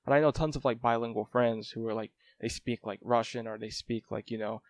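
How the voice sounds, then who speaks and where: A man's voice: medium pitch, fairly even intonation, fairly quick, dry recording. A man talking casually to camera about his language study, rambling and filling his talk with "like" and "um". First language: English. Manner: rambling, lots of "like" and "um" fillers. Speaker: language-learning vlogger. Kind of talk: casual talking-head update.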